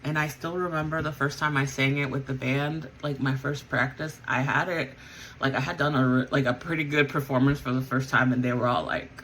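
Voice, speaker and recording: A woman's voice: extremely low pitch, steady tone, fairly quick, a bit bright, slight background noise, rough recording. A woman who sings in a band, chatting casually as she gets ready, rambling with lots of fillers and self-corrections. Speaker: vlogger and band singer. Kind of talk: casual chat while getting ready.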